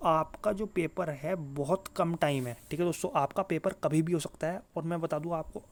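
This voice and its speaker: Higher-pitched man coaching students. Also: darkish tone. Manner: repetitive, emphatic, with frequent check-in tags; mixes English words into speech. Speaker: exam coaching YouTuber. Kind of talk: informal exam guidance talk to students.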